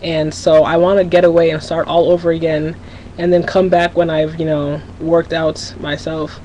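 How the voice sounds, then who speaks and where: A woman's voice: low-pitched, flat delivery, normal speed, mellow, poor audio. A teenage girl rambles to her webcam at home, candid and a little scattered, restarting sentences as her thoughts run ahead. A faint hiss sits under her voice. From Minnesota, USA. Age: teens. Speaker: vlogger. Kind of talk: casual confessional webcam vlog.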